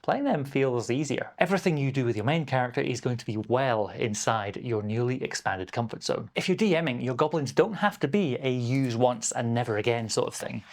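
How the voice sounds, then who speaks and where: A man's voice: medium pitch, lively intonation, fairly quick, vocal fry, hardly any pauses. A man presenting a scripted explainer as a game master, with a playful, emphatic and encouraging delivery. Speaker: game master and video host.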